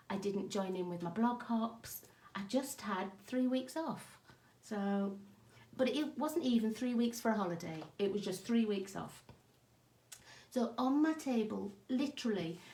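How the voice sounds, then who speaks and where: A woman with a croaky, hoarse voice from a cough, chatting casually with viewers by name as she hosts a craft live stream from her home craft room. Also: medium pitch, mellow. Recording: echoey room.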